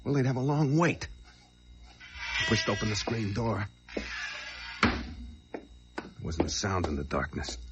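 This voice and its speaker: An actor playing a desperate, whining small-time crook on the run in an old radio crime drama, stammering, pleading and intense. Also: male voice, lowish voice, sing-song, a little slow, pauses often, loud music behind the voice. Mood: tense, brooding. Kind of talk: old radio crime drama, dialogue and first-person narration. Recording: noisy vintage radio drama recording.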